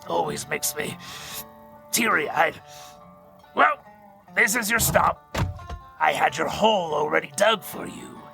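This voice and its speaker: A man's voice: on the high side, slow pace, vocal fry, pauses often, bright, forceful tone, exaggerated voice. A man playing a ghoulish gravedigger who narrates scripted horror tales in a theatrical, macabre, mock-sentimental way. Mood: mock-tender menace. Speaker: horror story host and narrator. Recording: music behind the voice.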